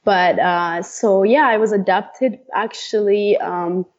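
A woman's voice: mid-range voice, steady tone, takes it slowly. Woman, 30s, from Ethiopia, interview guest, laughs a lot, lots of fillers, muffled lo-fi sound. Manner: laughs often, many fillers. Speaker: guest, runner. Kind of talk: casual interview talk.

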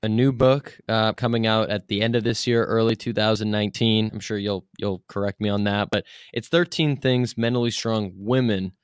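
A man's voice: fairly low pitch, fairly even intonation, normal speed, few pauses, clear, resonant tone, no room sound, studio quality. A man hosting an interview in a casual, informal, chatty way, peppering his talk with "like". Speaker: podcast host, interviewer.

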